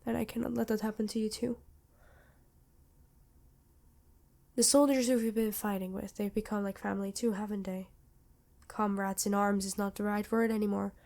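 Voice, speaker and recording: A woman voicing a worried, protective vampire queen in an ASMR roleplay, earnest and measured, with dramatic pauses. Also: medium pitch, little pitch movement, vocal fry, darkish tone, lightly acted. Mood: grave, grieving. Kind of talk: ASMR roleplay acting addressed to listener.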